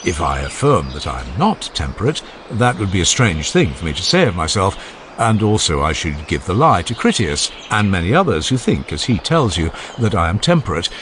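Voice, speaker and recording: A man's voice: deep voice, very animated, normal speed, a bit bright, slight background noise. A man playing a modest young pupil in a dramatized philosophical dialogue, answering politely and earnestly. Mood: modest, hesitant.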